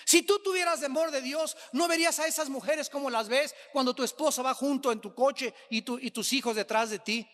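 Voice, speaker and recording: A man's voice: very high-pitched, fairly quick, few pauses, a bit bright. A man with a Mexican accent preaching a sermon emphatically, repeating phrases for effect. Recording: echoing hall with long reverb.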